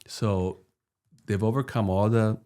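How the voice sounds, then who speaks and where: A man's voice: lowish voice, fairly even intonation, unhurried, dark tone. A middle-aged man from Peru co-hosting a podcast, talking earnestly and asking probing questions, often repeating a word as he starts. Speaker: podcast co-host. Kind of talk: conversational podcast discussion.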